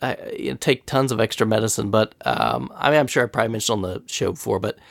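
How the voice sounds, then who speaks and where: A man's voice: on the low side, fast talker, vocal fry, dry recording, studio quality. A man hosting a podcast who has a cold and sounds a little nasal and scratchy, chatting casually and laughing often as he tells stories. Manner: chatty storyteller, laughs often, animated retelling. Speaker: podcast host.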